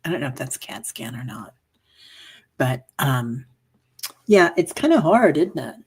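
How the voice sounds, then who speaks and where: A woman with a strikingly low, husky voice hosts a casual livestream. She rambles warmly through viewer comments with plenty of fillers. A cough lingers, leaving her a little hoarse. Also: sing-song, unhurried. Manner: chatty, rambling, many fillers. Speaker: livestream meditation host. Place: home livestream.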